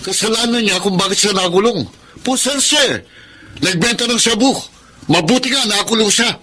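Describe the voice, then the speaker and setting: High-toned demanding young husband. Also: male voice, moderate pace, pushed and bright, a little hiss or hum. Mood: scornful, angry. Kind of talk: Tagalog radio melodrama acting.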